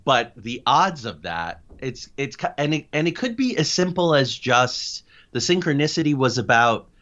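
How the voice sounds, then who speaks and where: A middle-aged man answering interview questions as a guest, explaining things in an animated, anecdotal way. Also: medium pitch, normal speed. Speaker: interview guest, paranormal investigator and writer. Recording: dull, lo-fi audio.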